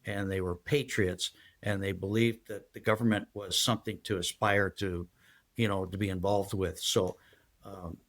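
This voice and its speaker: Low-voiced older man telling his story. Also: fairly bright tone. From San Diego, USA. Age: 60s. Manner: rambling, halting, with many fillers and restarts. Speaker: guest, retired federal agent. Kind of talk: casual interview storytelling.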